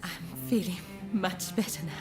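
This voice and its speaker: A woman playing an earnest, devoted young woman in a game cutscene, speaking softly and hesitantly with formal politeness. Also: on the low side, steady tone, moderate pace, natural voice. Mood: tender, relieved. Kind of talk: dramatic video game cutscene acting. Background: music.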